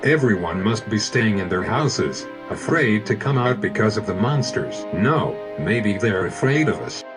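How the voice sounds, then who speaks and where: A man's voice: lowish voice, sing-song, measured pace. A man playing a brooding young ex-soldier who narrates his past in a fan dub, serious and reflective. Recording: loud music over the voice. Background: loud game music.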